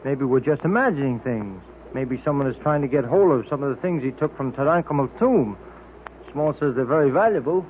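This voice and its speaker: An actor playing a breezy, plain-spoken detective's sidekick, slangy and informal, in old-time radio drama style. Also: male voice, mid-range voice, expressive, a little fast, close to an everyday voice. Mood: doubtful, uneasy. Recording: tinny, hissy old-time radio recording.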